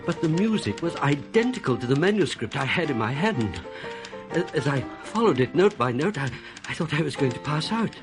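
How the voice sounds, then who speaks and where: A man's voice: a little high, big pitch swings, a little fast, over music. An actor playing an uneasy, suspicious composer, confiding his own story in a radio thriller. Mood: troubled, confiding. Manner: troubled, confiding first-person storytelling. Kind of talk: radio play narration and dialogue.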